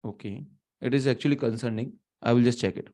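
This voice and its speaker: A man's voice: mid-range voice, fairly even intonation, quick pace, warm tone. A man in his thirties with an Indian accent, giving a live online lecture. He thinks aloud with restarts and fillers, slipping into Hindi, recorded in a small room on a webcam setup. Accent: Indian English, mixing in Hindi. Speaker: teacher. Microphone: webcam setup, not close.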